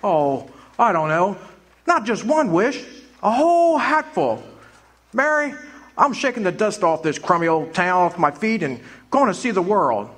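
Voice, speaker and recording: A man's voice: high-pitched, very animated, unhurried, fairly bright tone, heavily acted. An actor playing an eager, talkative young man full of big plans in a live radio play. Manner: eager, rambling, boyish.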